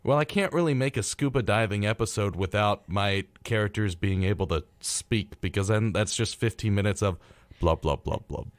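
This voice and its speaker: A man's voice: fairly low pitch, moderate pace, no echo. A young man co-hosting a radio show, chatting casually and jokily and acting out imagined remarks. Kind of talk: casual chat.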